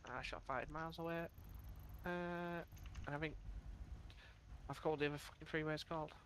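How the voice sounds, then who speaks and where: A man with a slightly high voice chats casually in a police roleplay, swearing as he goes. There is clear vehicle noise behind him. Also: fairly even intonation, moderate pace, a bit bright. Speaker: field training officer in a police roleplay. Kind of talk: casual in-character roleplay chat.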